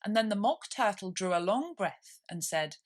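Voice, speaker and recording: A woman reading a classic children's story aloud as expressive scripted narration. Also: medium pitch, moderate pace. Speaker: storybook reader.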